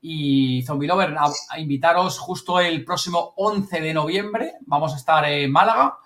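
Male podcast host, accent from Spain, fairly high voice, informal and chatty delivery. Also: normal speed, fairly bright tone. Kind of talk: casual podcast chat.

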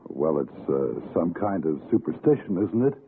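An actor playing an older, composed city husband, measured, educated and skeptical, in a 1960s radio suspense drama. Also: male voice, deep voice, sing-song, slight background noise. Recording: tinny, lo-fi vintage radio recording.

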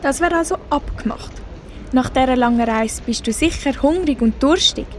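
A kind, decisive mother in a radio play acted by a female amateur. Her voice is high, with a Swiss German accent, over faint background noise. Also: normal speed, natural voice.

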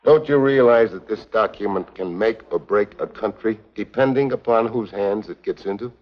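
Man acting hard-boiled American agent, low voice, wry and unruffled, 1950s TV spy drama, dull old film sound. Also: moderate pace, lightly acted. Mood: cool, firm. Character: tough, wry American male secret agent.

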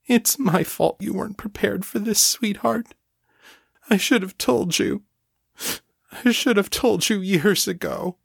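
A man voicing a grieving, furious middle-aged mother, high and tearful as she pleads and rages. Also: a little slow, creaky, breathy edge, no room sound, studio quality, heavily acted. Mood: remorseful.

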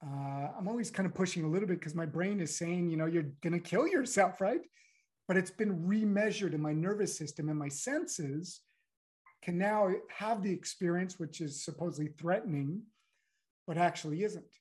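A middle-aged man from the United States, a guest speaking reflectively in an interview, pausing often and filling with "you know". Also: high voice, darkish tone. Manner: thoughtful, halting, many "you know"s.

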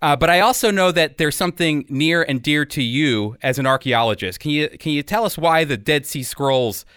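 A man's voice: on the high side, normal speed, fairly bright tone, smooth, no echo, clean studio sound. A man hosting a radio program, speaking conversationally as he introduces and interviews a guest. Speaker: radio show host and interviewer.

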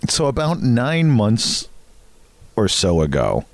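A man's voice: mid-range voice, huge pitch swings, slow pace, no room sound. A man co-hosting a podcast, telling anecdotes casually in a jokey, self-deprecating way. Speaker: podcast co-host.

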